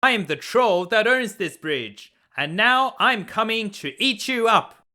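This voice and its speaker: A man playing a loud, menacing storybook troll. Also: high voice, lively intonation, pitched above the performer's own voice, exaggerated voice. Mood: menacing, taunting. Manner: taunting, menacing, drawn-out questions. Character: big fearsome bullying troll, greedy and angry.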